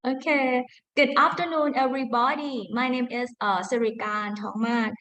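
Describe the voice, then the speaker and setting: A woman with a Thai accent, a university lecturer giving a careful, halting academic conference talk with frequent restarts. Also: a little high, fairly even intonation, a bit bright. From Thailand. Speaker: university lecturer presenting research.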